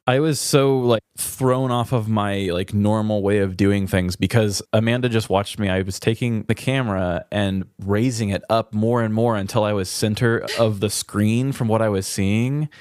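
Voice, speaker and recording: A man's voice: on the low side, steady tone, normal speed, few pauses, darkish tone, clear, resonant tone, dry recording, studio quality. A man co-hosting a podcast in casual chat, informal and self-deprecating, swearing freely. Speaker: podcast co-host.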